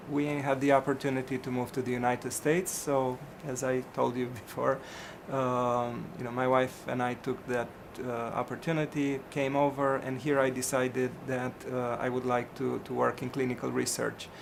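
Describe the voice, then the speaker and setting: A middle-aged physician from Romania, answering interview questions in a hesitant way, with many ums and restarts. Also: male voice, mid-range voice, flat delivery, normal speed, gravelly, few pauses, noisy background.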